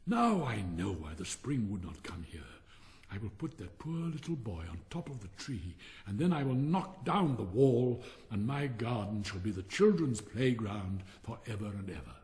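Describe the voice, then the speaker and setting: A man's voice: lowish voice, big pitch swings, moderate pace, darkish tone, pitched below the performer's own voice. A man voicing a big, remorseful giant who is tender and protective, from a storybook. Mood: remorseful, resolute. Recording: long hall-like reverb.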